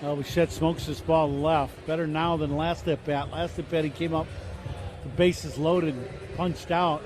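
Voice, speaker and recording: High-voiced chatty baseball analyst. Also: male voice, flat delivery, a little slow, music in the background. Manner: chatty, rambling, repeats "I can honestly say". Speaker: color analyst. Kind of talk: relaxed broadcast banter. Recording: noisy radio broadcast.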